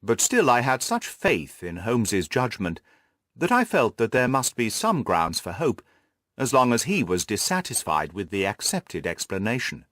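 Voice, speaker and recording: A male narrator reads literary prose for an audiobook. His voice sits at a middle pitch. The recording is very dry, with little room sound. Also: very animated, moderate pace, fairly bright tone, dry recording.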